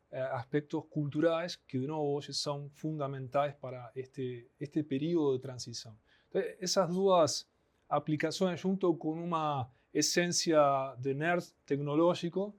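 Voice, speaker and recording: A man with an Argentine Spanish accent, Spanish being his first language, speaks as an interview guest. His voice sits a little higher than most men's. He explains patiently, with small fillers between his thoughts. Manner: explanatory, measured, frequent "né" and "eh" fillers. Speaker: guest, anthropologist. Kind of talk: podcast interview.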